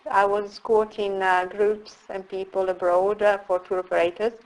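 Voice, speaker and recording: A middle-aged woman from Italy, telling her story as an interview guest in a slow, reflective way with frequent pauses. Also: medium pitch, flat delivery, poor audio. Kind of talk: casual interview.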